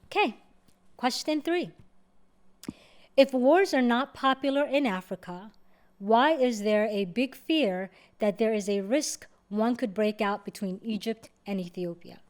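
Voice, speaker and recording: A woman from Somalia, hosting a video and answering viewer questions in a measured, step-by-step way. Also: a little high, lively intonation. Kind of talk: explanatory talk to camera.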